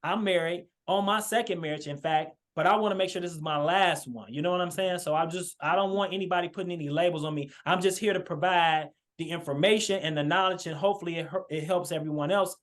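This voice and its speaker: A man's voice: high-pitched, fast, few pauses. A man hosting a marriage podcast over a video call, speaking earnestly with many fillers and restarts. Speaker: podcast host. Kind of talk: casual video-call chat.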